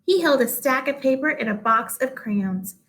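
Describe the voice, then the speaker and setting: A woman reading a children's storybook aloud in an expressive storytelling style. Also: high-pitched, normal speed.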